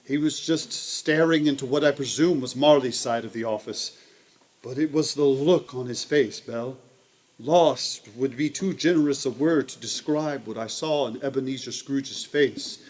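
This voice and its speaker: A man playing a troubled, compassionate older father in a radio play, speaking gravely and hesitantly. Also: medium pitch, moderate pace, darkish tone, natural voice. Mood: shaken. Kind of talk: live-audience radio play acting.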